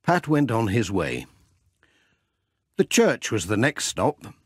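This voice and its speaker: Male children's audiobook narrator; lively intonation, slightly husky tone, close dry recording. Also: on the low side, slow, no room sound. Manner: expressive storytelling.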